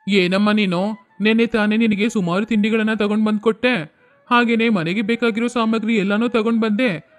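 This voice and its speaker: A man's voice: high-pitched, steady tone, moderate pace, soft background music, natural voice. Man voicing an exasperated village husband. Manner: complaining, torn between wife and mother.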